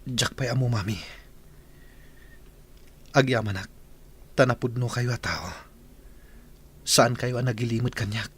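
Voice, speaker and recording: A man's voice: medium pitch, a little slow, long pauses, a bit bright, husky. A man playing an earnest, respectful grown son in a radio drama, speaking in a heartfelt way. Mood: moved, earnest.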